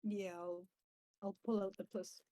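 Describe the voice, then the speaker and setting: A woman's voice: mid-range voice, flat delivery, a little slow, warm tone, dry recording. A woman chatting casually as a player in a tabletop roleplaying game.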